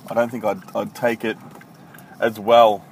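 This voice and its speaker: A man's voice: lowish voice, level tone, a little slow. Profane, rambling Australian man on a phone. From country Victoria, Australia. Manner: blunt, profane, rambling with many ums. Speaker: podcast host. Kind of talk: casual solo podcast monologue. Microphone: iPhone. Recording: noisy phone recording with traffic.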